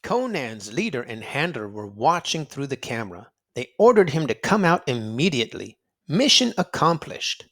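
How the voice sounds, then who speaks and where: A man reading aloud to children in an expressive storybook style, dramatic and emphatic, drawing out words for effect. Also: mid-range voice, normal speed. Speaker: children's read-aloud narrator and quiz host.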